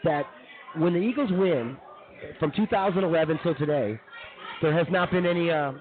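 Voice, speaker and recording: A man hosting a live comedy show, running through news stories in a casual, halting, chatty way. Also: on the high side, narrow, tinny audio, some chatter behind, slight background noise. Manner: halting, informal, chatty delivery.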